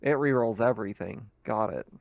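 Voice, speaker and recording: A man's voice: on the low side, moderate pace, creaky, no echo, phone-quality sound. A man playing a tabletop game, chatting casually about rules and rolls.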